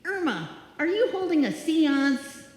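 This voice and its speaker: A woman playing a wry, exasperated young woman in a live old-time radio comedy, with deliberate, dry delivery. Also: high-pitched, very animated, darkish tone. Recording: echoey hall, live stage performance.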